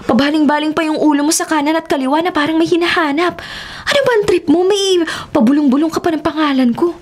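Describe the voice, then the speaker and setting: A woman's voice: sits high, fast, close to an everyday voice. A woman playing a breezy, teasing young travel companion in a radio drama.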